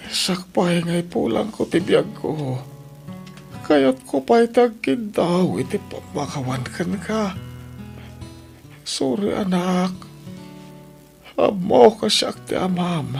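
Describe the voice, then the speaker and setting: A man playing an ailing elderly father in an Ilocano radio drama, halting and pleading as he begs forgiveness. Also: high-pitched, gravelly, darkish tone, heavily acted.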